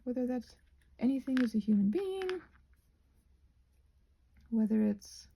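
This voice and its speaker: A woman rambling casually in an ASMR style while crafting, musing aloud and gently reassuring, her soft breathy voice often slipping into a whisper. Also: on the high side, lively intonation, measured pace, a little hiss or hum, rough recording.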